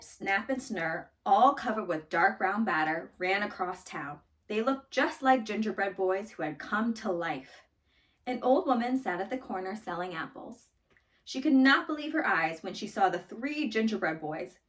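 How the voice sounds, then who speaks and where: A woman reading a children's story aloud in an expressive, dramatic storytelling manner. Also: medium pitch, a little slow, far from the mic. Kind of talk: scripted storybook read-aloud.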